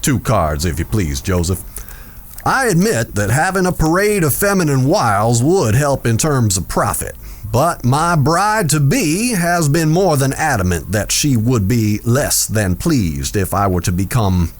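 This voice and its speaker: A man playing a smooth, self-important saloon owner in a Western audio drama, speaking in a formal, courtly, measured way. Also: mid-range voice, big pitch swings. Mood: politely smug.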